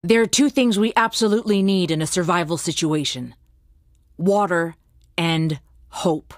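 An actress playing a calm, thoughtful female survivalist hunter and soldier, deliberate and composed, in video game voice lines. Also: lowish voice, no room sound, clean studio sound, lightly acted.

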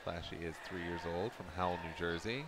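A man commentating live on a dog show, with a relaxed, self-deprecating joking manner. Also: low-pitched, brisk, background noise.

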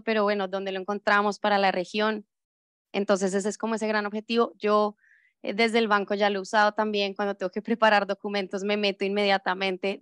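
A woman's voice: medium pitch, smooth, no room sound, clean studio sound. A woman moderating a conference panel, introducing speakers in a friendly, organized way with a few fillers.

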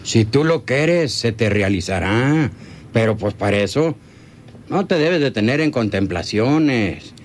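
A man's voice: medium pitch, animated, unhurried, close to an everyday voice. A man playing a scheming, greedy country henchman in a radio serial, talking in a coaxing, rustic, colloquial way. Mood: cold, scheming. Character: scheming rural male bandit henchman, persuasive and greedy. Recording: noisy old radio serial recording.

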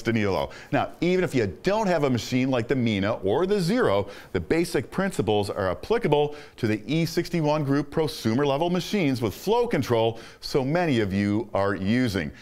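A middle-aged man narrates a scripted voice-over, his pitch rising and falling freely. He sounds enthusiastic and upbeat, in a mid-range voice. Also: a little mellow. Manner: enthusiastic, upbeat presenter delivery. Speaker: host.

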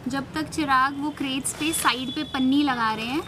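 Young woman host, chatty, explains to viewers, fairly high voice, arm's-length camera, rooftop with steady outdoor noise. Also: moderate pace. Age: young adult. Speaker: vlog host. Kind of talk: casual chat to camera. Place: open rooftop terrace, outdoors. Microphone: handheld camera at arm's length. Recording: noisy outdoor ambience.

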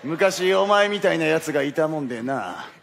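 An actor in a stage play playing a gruff old volleyball coach who barks orders in a rough old-man dialect. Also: on the high side, animated, normal speed, a bit bright, a little hiss or hum. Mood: gruff, instructive. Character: gruff old male volleyball coach, crusty but encouraging. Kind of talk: theatrical stage play acting. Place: theatre stage.

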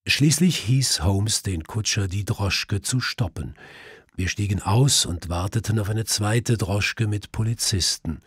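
A man's voice: lowish voice, a little slow, slightly rough, dry recording, clean studio sound. A man reading a literary detective story as an audiobook narrator.

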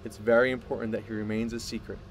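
An actor playing a proud, obsessive male scientist in an audio drama, speaking in a deliberate, grandiose way. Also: lowish voice, level tone, heavy background noise, lightly acted. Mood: measured, earnest. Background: music.

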